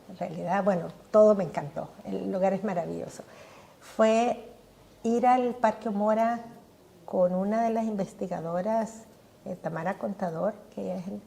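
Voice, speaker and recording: An older woman answering interview questions with eager enthusiasm. Her voice has a warm, mellow tone, and she trips over repeated words as she searches for what to say next. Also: medium pitch, gravelly. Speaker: guest scientist. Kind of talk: podcast interview.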